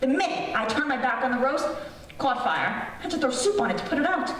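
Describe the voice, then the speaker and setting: A woman's voice: sits high, sing-song, a little fast, fairly bright tone. A woman playing a nagging, sleepless, self-pitying wife in old-time radio comedy style, exasperated and whiny. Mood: scornful, accusing. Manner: exasperated, whiny, needling complaints. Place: stage performance in a reverberant hall. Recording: very echoey hall from far off, faint noise.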